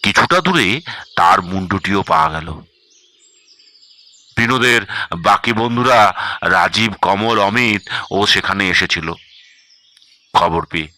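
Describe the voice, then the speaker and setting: A man narrating a scripted horror story dramatically, measured and suspenseful, with pauses between phrases. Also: sits low, animated, pushed and bright, dry recording.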